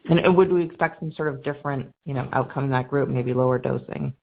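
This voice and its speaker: A woman's voice: very deep voice, quick pace, vocal fry. A woman calling in as a financial analyst, asking questions on an earnings call in polite, tentative phrasing. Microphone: telephone. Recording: tinny, narrow phone line.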